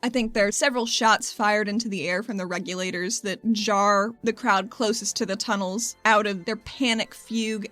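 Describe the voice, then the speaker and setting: A woman with a medium-pitched voice takes part in a tabletop game as a player. Her talk is casual and conversational, and faint music plays behind her. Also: a bit bright.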